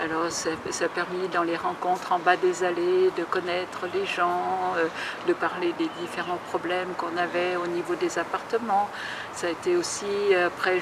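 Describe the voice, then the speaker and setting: A woman's voice: on the low side, far from the mic, noisy background. A woman from a tenants' association, speaking hesitantly with many fillers. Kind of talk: recorded testimony.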